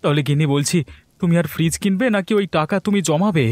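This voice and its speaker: A man's voice: a little high, fast talker, darkish tone, no room sound, lightly acted. A man voicing a sly, henpecked young village husband in a folk-tale cartoon, with an eager, exaggerated delivery. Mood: eager, coaxing. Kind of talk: cartoon voice acting.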